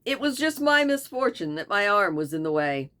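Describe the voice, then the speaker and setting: A woman's voice: mid-range voice, sing-song, normal speed, a bit bright. A woman voicing a gallant young male goat hunter who speaks in a light, joking way. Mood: wry.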